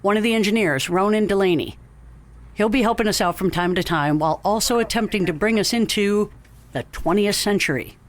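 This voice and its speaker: An actor playing a measured, authoritative captain in an audio drama, speaking formally and deliberately. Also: male voice, sits high, natural voice.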